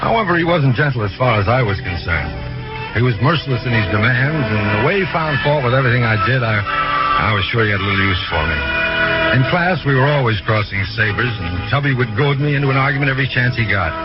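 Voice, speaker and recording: An actor playing an earnest, polite, quick-witted medical student in an old-time radio drama, speaking in a measured way. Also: male voice, medium pitch, lively intonation, loud music behind the voice, lightly acted. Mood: reflective. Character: earnest, respectful but quick-witted male medical student, recalling his mentor. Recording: muffled vintage radio recording.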